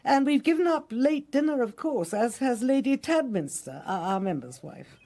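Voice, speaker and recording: A woman's voice: fairly high pitch, expressive, normal speed, warm tone. An actress playing a genteel, imperious older lady of a country house, with an upper-class English accent, in a radio drama. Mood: effusive.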